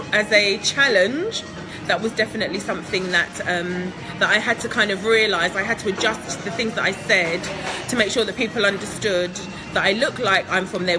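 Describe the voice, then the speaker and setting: A woman from England talks through her interview answers at an average pitch. She sounds upbeat and chatty, laughs often, and fills pauses with ums. Music plays clearly in the background. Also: poor audio. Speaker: guest entrepreneur.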